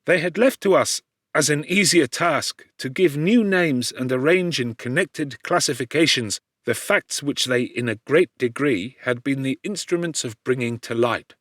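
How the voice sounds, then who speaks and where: A man's voice: mid-range voice, normal speed, fairly bright tone, no echo, studio quality, lightly acted. A man voicing an eloquent, kindly chemistry professor in formal, lecturing tones for an audiobook. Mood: measured, gracious. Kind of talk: audiobook character voice.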